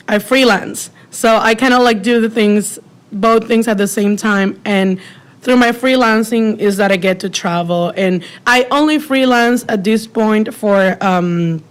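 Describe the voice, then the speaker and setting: A young woman with a Venezuelan Spanish accent speaks as an interview guest at a middle pitch. Her talk is easygoing and chatty, dotted with "um" and "like" as she explains her work. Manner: relaxed, chatty, frequent fillers like "um" and "like". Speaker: filmmaker guest. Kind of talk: casual interview answers.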